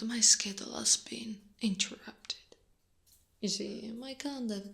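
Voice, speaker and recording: A woman acting as a female spider monster who toys with her prey. She speaks very slowly at an average female pitch, often sinking into breathy half-whispers. Her manner is teasing, possessive and quietly menacing, in the style of an ASMR roleplay. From Italy.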